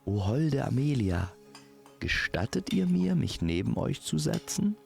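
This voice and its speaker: A man voicing a slimy, flattering young prince with an affected, courtly manner in a storybook audio tale. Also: mid-range voice, unhurried, gravelly, faint music behind, pitched above the performer's own voice, heavily acted. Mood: courtly flattery.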